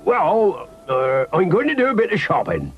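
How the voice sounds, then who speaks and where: Old seafaring neighbour, jolly, folksy, children's story, high swooping voice. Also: male voice, huge pitch swings, moderate pace, vocal fry, lo-fi sound, soft background music, heavily acted. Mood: helpful, cheery. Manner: chuckling, folksy, nautical exclamations.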